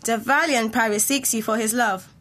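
A woman's voice: a little high, fairly even intonation, moderate pace, a bit bright. A woman playing a formal, commanding noble mother in a student Shakespeare audio play. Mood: formal, urging.

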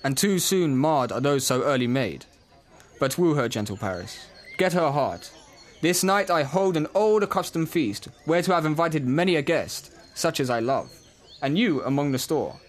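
A measured lord and father, acted by a man with a fairly high male voice. He delivers Shakespearean verse in a stately, hospitable manner, as in an amateur radio play. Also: expressive, close to an everyday voice. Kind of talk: student audio drama of Shakespeare.

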